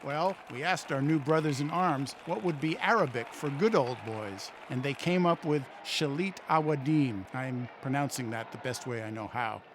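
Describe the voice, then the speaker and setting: An actor playing a folksy, cheerful, smug extremist militia leader in a satirical audio play, pitching his cause with glib, eager patter. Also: normal speed, hardly any pauses, background noise, close to an everyday voice. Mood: chummy, boastful.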